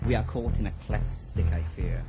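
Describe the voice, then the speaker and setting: An actor playing a wise, cautious wizard counsellor in a fantasy audio drama, with measured, portentous, archaic delivery. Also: male voice, deep voice, wildly swooping pitch, vocal fry, heavily acted, low-quality recording. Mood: earnest counsel. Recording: loud music under the voice.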